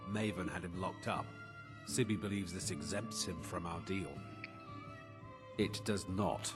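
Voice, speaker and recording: An actor as a shady fantasy townsman with a lowish voice, offering jobs like a man of business. His talk is measured and smooth, set over clear game music. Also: close to an everyday voice. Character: shady, businesslike horse-dealing schemer in a fantasy town. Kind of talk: video game character dialogue.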